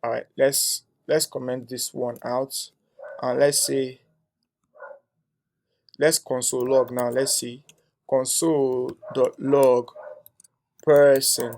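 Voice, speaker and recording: A man's voice: mid-range voice, level tone, slow, creaky, long pauses, a little mellow. A young man teaching a coding tutorial, thinking aloud as he types, with frequent "um"s and a tag "right?". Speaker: coding instructor. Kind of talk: screen-share coding tutorial.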